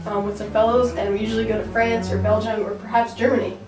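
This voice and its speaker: A woman's voice: fairly high pitch, flat delivery, fast talker. A young woman, a student, reading a male lead's lines in an amateur school drama. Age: young adult. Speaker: student playing Gabriel Conroy. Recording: echoey room from far off, background noise.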